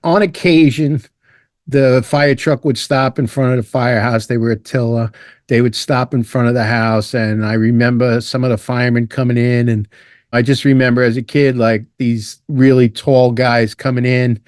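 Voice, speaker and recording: An older man, a guest telling his life story in a relaxed, rambling way. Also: medium pitch, moderate pace, no echo, studio quality. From Ireland, raised in Brooklyn. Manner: relaxed, rambling, with restarts and asides. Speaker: guest, retired fire lieutenant. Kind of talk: casual storytelling interview.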